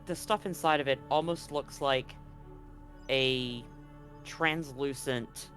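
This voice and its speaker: A man narrating a tabletop roleplaying game as game master, casually and somewhat haltingly, feeling for his words. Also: mid-range voice, fairly bright tone, soft background music.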